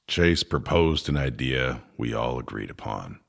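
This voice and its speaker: An actor playing a weary, bitter, irritable man in a sci-fi audio drama, with a rough, muttering delivery. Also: very deep voice, lively intonation, measured pace, heavily acted. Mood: grim, matter-of-fact. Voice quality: gravelly, rough.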